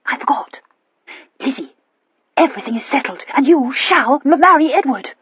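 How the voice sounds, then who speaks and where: A woman's voice: high voice, expressive, a little fast, no room sound, lo-fi sound, pitched above the performer's own voice. A woman voicing an eager young lady who stammers on her words.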